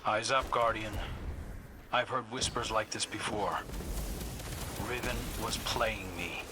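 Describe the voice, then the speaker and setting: A man's voice: lowish voice, slow pace. A man playing a wary, probing warrior advisor in a video game, grave and suspicious in his questioning. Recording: loud music behind.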